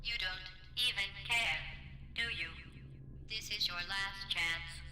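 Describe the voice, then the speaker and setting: Cold robotic female game AI villain, deliberate and threatening, music clearly behind. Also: medium pitch, pushed and bright. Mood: cold menace. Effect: synthetic robotic voice processing. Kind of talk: video game voice acting.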